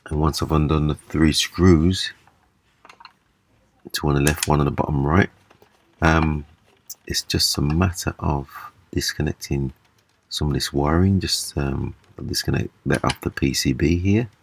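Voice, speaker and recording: Very deep-voiced man demonstrating a repair. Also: fairly even intonation, gravelly, breathy edge. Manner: halting, step-by-step explaining. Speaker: repair video presenter. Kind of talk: casual hands-on repair demonstration. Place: small indoor room at a table.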